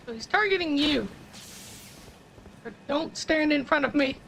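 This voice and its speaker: A woman streamer talks through her gameplay in a relaxed, rambling way, often restarting her sentences. Her voice sits high with a crackly, gravelly texture. A faint hiss runs under the recording. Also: unhurried. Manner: chatty, explains game mechanics with restarts. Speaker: gaming streamer. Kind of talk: casual gameplay commentary.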